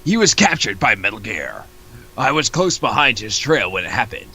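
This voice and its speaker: A grieving creature sworn to revenge, acted out by a man recounts a webcomic tragedy. The voice is fairly low and forceful, moving up and down with solemn melodrama. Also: sing-song, moderate pace, slightly rough, full character voice. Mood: solemn, vengeful. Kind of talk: comic dramatic reading of a webcomic.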